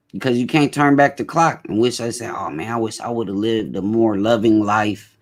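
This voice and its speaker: A man hosting a casual talk show, speaking emphatically and often repeating his points. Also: mid-range voice. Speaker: host.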